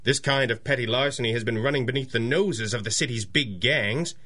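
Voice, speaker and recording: A commanding male pulp hero from a radio play. He has a mid-pitched voice and speaks in an earnest, measured, articulate way, sure of himself. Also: bright, forceful tone. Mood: resolute, explaining. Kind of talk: 1930s radio acting.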